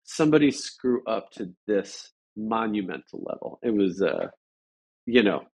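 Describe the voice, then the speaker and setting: An American man from Chicago telling stories casually as an interview guest, halting and rambling with lots of filler words. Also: fairly low pitch, sing-song, vocal fry, breathy edge. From Chicago, United States. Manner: halting, rambling, frequent "like" and "you know". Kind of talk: casual conversational storytelling. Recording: dull lo-fi audio.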